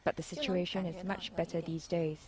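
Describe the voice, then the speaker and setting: Woman from china, lowish voice, calm answer, crowd noise behind. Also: brisk. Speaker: interviewee, permanent resident. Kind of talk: interview answer.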